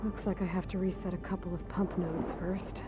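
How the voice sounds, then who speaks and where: A woman's voice: fairly low pitch, little pitch movement, brisk, over loud music, close to an everyday voice. An actress playing a determined young woman hunter who speaks tersely in a video game cutscene. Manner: terse and focused.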